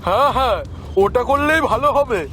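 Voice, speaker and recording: A man playing a concerned village bystander in an animated story, talking in a gossipy, matter-of-fact way. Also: very high-pitched, a little fast, soft background music. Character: concerned male village bystander spreading bad news.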